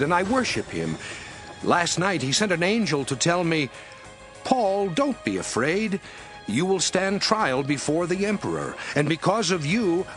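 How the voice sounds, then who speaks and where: Apostle Paul, earnest, reassuring, slightly high voice, distant, music behind. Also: male voice, fairly bright tone. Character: apostle Paul, calm steadfast older man. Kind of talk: audio drama acting. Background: music score.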